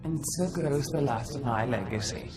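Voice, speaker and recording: A scheming dark mentor played by an actor in a video game. The delivery is formal and measured at a middling pitch. Music is clearly audible underneath. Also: natural voice. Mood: calculating. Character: scheming, counselling Sith mentor. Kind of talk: video game cutscene acting.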